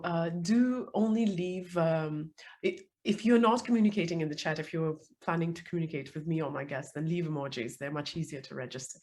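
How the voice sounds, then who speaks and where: A woman with a hoarse, worn voice from being sick, hosting a live online interview over a video call, chatty with many fillers. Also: fairly low pitch, a little mellow. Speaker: host. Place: home, calling in.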